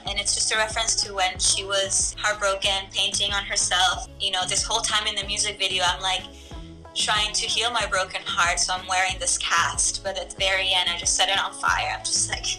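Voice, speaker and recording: A young woman from Ecuador, a singer, chatting casually to camera in a relaxed way with lots of filler words. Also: mid-range voice, a little fast, soft background music, poor audio. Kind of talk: casual talk to camera about her song.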